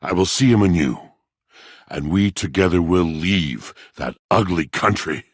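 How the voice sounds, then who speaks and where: A man playing an aging, lovelorn washing machine in a video game, with a gravelly voice and a solemn, theatrical, flowery manner. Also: a little slow, no room sound, studio quality. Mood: mournful, resolute. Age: older. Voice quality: deep, gravelly. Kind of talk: video game character acting.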